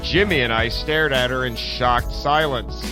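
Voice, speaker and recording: A man narrating a scripted first-person adventure story in a theatrical, expressive way, acting out his own dialogue as he goes. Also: medium pitch, unhurried, over music, rough recording. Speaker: podcast host narrating an audio story.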